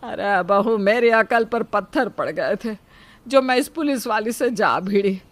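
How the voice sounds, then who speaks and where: A woman voicing a dramatic, scolding old mother-in-law who complains in a drawn-out, exasperated wail for a cartoon story. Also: medium pitch, background noise, lightly acted. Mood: regretful. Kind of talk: cartoon moral story acting.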